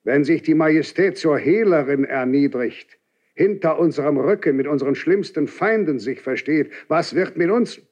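An actor playing a stern, aged Cardinal Inquisitor in a classical German verse radio drama, speaking with measured, severe deliberation. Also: male voice, on the high side, a little mellow, close to an everyday voice. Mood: stern reproach. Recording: slightly dull archival radio drama recording.

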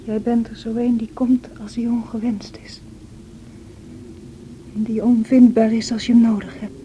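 An actress playing a feverish, wild and distraught young married woman in a radio drama, with a breathy, anguished delivery. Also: on the high side, flat delivery, moderate pace, dark tone, natural voice. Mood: bitter, defiant. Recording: noisy old radio play recording.

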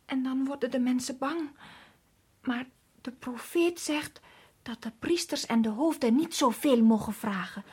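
An actress playing a timid, deferential village maidservant in a radio drama, speaking hesitantly. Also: female voice, sits high, measured pace, a little mellow, breathy edge, heavily acted. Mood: earnest.

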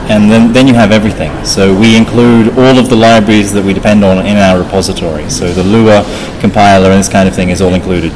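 A man being interviewed as a software developer, explaining technical things informally, step by step with frequent restarts. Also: fairly low pitch. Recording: clearly noisy background.

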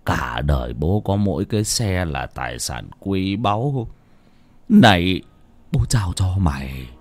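A man voicing a frail old village father in a told story, strained and breathy, scolding yet loving. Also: sits low, very animated, slow pace, no echo, pitched below the performer's own voice, full character voice. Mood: dying, feeble.

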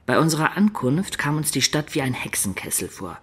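An actor playing a wistful adventurer who recounts his life in a German radio play, in a measured, expressive storyteller's manner. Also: a little high, husky. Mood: reflective. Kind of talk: dramatic first-person narration in German radio play.

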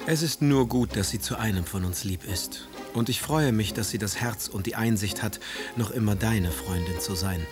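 Actor as a brooding, low-voiced Romantic poet, music beneath. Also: male voice, normal speed, close to an everyday voice. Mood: wry, sardonic. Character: Romantic exiled poet, proud, sardonic, brooding husband and brother. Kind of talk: German radio drama, letters and verse.

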